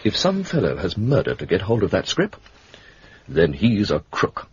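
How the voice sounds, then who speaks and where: An actor with an English accent playing an older, brisk, pompous and secretive senior government official in a radio drama. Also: male voice, deep voice, wildly swooping pitch, darkish tone, husky, dull audio, exaggerated voice. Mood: grave, confidential. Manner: clipped, self-important, harrumphing. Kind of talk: British radio drama acting.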